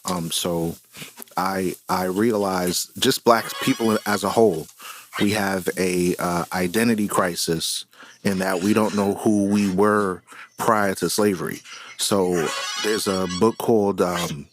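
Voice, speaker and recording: A man speaking as a panel guest in a measured, reflective and conversational way about personal views. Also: sits low, steady tone, slight background noise, rough recording.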